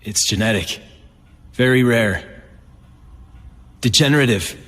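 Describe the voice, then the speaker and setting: A man's voice: medium pitch, slow pace, a bit bright. An American man playing a bitter, guarded young heir in a video game, speaking in a brooding, confiding way. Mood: somber. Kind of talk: video game cutscene acting. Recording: long reverb, music underneath.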